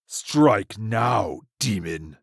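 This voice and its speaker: A man voicing a proud, defiant medieval warrior in a comic dub, gravelly and solemnly declamatory. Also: on the low side, animated, drawn-out words, no echo, studio quality, heavily acted. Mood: grim.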